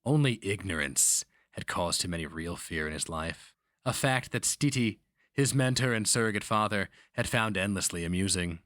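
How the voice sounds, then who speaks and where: A man's voice: sits low, expressive, moderate pace, a bit bright, no echo, studio quality. A man giving a solemn, dramatic reading of a literary fantasy story as its narrator.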